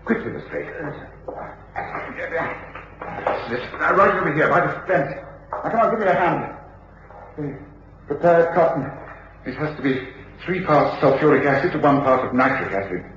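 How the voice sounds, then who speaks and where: An English actor playing a commanding, coolly reasoning detective with clipped, authoritative delivery in an old British radio drama. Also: male voice, fairly high pitch, animated, normal speed, pauses often, fairly bright tone. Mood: urgent, commanding. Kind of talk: 1950s-60s British radio drama acting. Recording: echoey, dull, noisy old radio recording.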